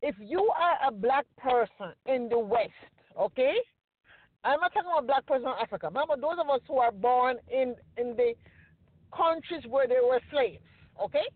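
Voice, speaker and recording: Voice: sits high, lively intonation, normal speed, no echo. Earnest Caribbean host on a tinny line. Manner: earnest, reflective, with frequent "you know" and "okay?" checks. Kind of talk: casual discussion. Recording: tinny phone-line sound, dry.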